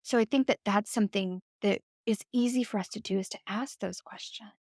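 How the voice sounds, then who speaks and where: Woman guest on a podcast, thinking out loud, lots of "like" and "right?", dry close sound. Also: medium pitch, brisk, gravelly, dry recording. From United States. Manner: reflective, frequent "like" and "right?". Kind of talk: conversational interview.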